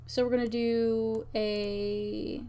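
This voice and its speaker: A woman's voice: fairly high pitch, flat delivery, slow, mellow, smooth. A woman streamer talking herself through a task step by step in fragmented, muttered phrases, casually thinking aloud. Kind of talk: casual work-along narration, thinking aloud. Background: keyboard typing and clicking.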